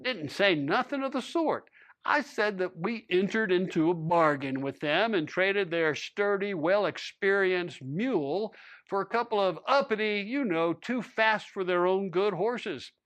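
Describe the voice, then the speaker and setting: A man's voice: sits high, expressive, unhurried, a bit bright. A man voicing a sly old-west male rancher with a folksy who dodges questions. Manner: folksy, dry, evasive drawl.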